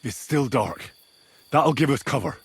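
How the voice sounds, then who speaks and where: A man's voice: mid-range voice, expressive, unhurried, husky, no echo, full character voice. An actor playing a determined young medieval man-at-arms in a video game, earnest, blunt and plain-spoken. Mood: resolute. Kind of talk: dramatic video game acting.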